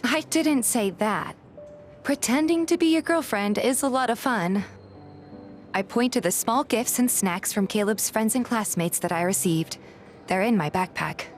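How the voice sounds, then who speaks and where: A woman's voice: a little high, expressive, a little slow, faint music behind. A woman reading a scripted first-person story as narrator and voice actor in a fan dub.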